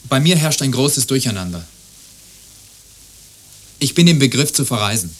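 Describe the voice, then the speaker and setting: An actor playing a courteous, evasive, lovelorn neighbour in a radio drama, earnest and often breaking off mid-sentence. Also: male voice, mid-range voice, sing-song, normal speed, fairly bright tone, slight background noise. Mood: awkward. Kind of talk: radio play dialogue.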